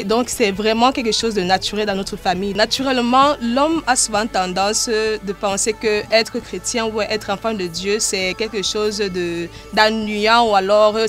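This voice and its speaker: A woman's voice: mid-range voice, fairly bright tone. A woman with a Cameroonian accent speaking as an interviewed gospel artist, in an earnest, devout manner. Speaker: gospel artist and choir director being interviewed. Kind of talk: interview talk about life and music.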